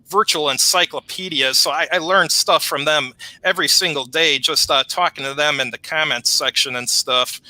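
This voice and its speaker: A middle-aged man with a Chicago accent chatting casually as an interview guest, rattling along in a rapid-fire, chatty way. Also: fairly high pitch, pushed and bright, dry recording, rough recording. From Chicago, United States. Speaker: guest, war game reviewer.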